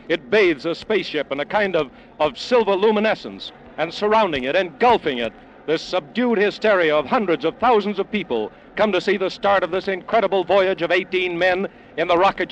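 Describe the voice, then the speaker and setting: A man playing an awed, solemn American radio news reporter in a vintage radio drama, dramatic and pausing for effect, on an old noisy recording. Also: high voice, dull audio, lightly acted. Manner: dramatic, hushed pauses, repeats words for effect. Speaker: field news correspondent. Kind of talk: 1940s-50s radio drama live news commentary.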